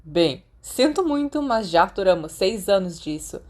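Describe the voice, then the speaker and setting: A woman's voice: mid-range voice, sing-song, moderate pace, darkish tone. A woman voicing a hot-tempered, wounded, sarcastic teenage boy in a story, with a Brazilian accent and emphatic delivery. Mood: angry, bitter. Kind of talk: podfic character acting.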